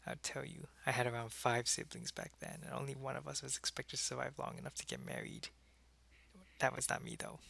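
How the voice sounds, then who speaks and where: A man playing a wistful, friendly, centuries-old vampire in an intimate, soft-spoken ASMR roleplay, talking casually and often slipping toward a whisper. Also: medium pitch, level tone, brisk, creaky, full character voice. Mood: wistful, reminiscing.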